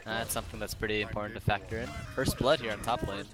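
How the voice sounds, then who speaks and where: A man with an American accent, co-casting a game match with rambling, hedging analysis, quick to laugh. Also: on the low side, fairly even intonation, music in the background. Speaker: esports caster. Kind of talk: casual game analysis commentary.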